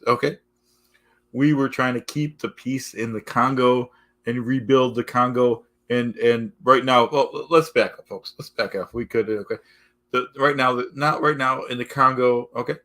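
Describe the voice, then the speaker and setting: A man hosting a casual live stream, halting and repetitive, losing his voice, forever addressing "folks". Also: mid-range voice, fairly bright tone. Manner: repetitive, keeps saying "folks" and "okay"; halting, restarts often.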